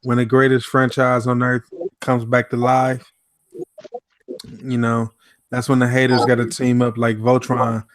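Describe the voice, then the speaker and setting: A man hosting a casual boxing podcast, laid-back and tipsy, talking in a slow, mellow way. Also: mid-range voice, monotone, no echo. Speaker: podcast host. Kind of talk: casual chat.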